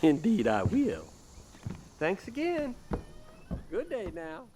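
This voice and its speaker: A man's voice: high voice, huge pitch swings, takes it slowly, heavy background noise, full character voice. A man playing an eager, courteous, folksy assistant to a ghost hunter in an audio drama. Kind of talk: audio drama character acting.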